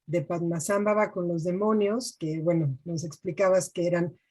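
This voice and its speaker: A woman's voice: on the low side, fairly even intonation, moderate pace, darkish tone, breathy edge. A woman interviewing a guest, asking thoughtful and attentive questions.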